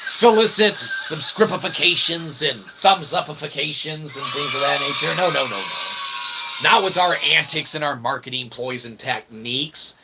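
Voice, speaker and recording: Gravelly, mock-pompous man streaming over noise. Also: fairly high pitch, normal speed. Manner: mock-pompous, with grandiose invented words; repeats stock catchphrases and tags like "okay?". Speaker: streamer and channel host. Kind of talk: rambling comedic livestream monologue.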